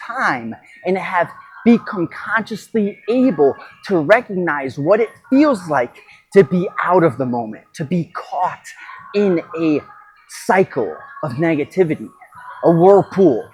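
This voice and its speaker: A man speaking off the cuff as a podcast host and coach, in an emphatic, halting motivational monologue with pauses and repetition. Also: fairly high pitch, animated, darkish tone, soft background music, poor audio.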